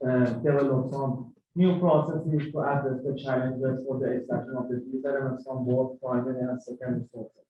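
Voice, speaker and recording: A man on a panel, presenting research in a steady, even way with frequent fillers and restarts. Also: mid-range voice. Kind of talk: academic presentation. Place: university hall. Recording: dull, echoey distant hall recording with faint hum.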